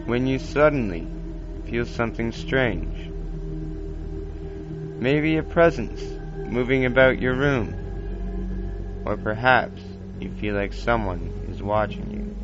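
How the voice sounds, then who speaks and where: Slow-spoken man narrating, dull lo-fi recording. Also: medium pitch. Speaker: podcast host. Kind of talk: scripted narration in old time radio show style. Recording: dull lo-fi basic equipment, music underneath. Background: music bed.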